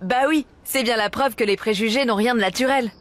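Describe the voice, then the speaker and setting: A woman's voice: fairly high pitch, fairly quick, lightly acted. A woman playing a friendly cartoon presenter who explains things to children in a didactic, encouraging, scripted way. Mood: explanatory. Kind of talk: scripted educational cartoon narration.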